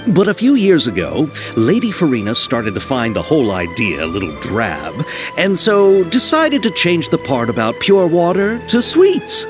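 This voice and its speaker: Eager, flustered filmmaker, wildly animated. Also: male voice, fairly high pitch, moderate pace, over music. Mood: eagerly explaining. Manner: earnest, wordy explainer, very expressive. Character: eager, flustered young male filmmaker, polite and bookish. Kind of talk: video game cutscene acting.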